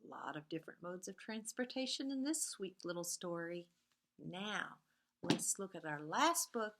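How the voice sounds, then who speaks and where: A woman reading picture books aloud to young children in an expressive, sing-song way, sometimes hushed, pausing to point things out to her listeners. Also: fairly low pitch, heavy background noise. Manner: animated and sing-song, drops to hushed, whispery repeated sound words.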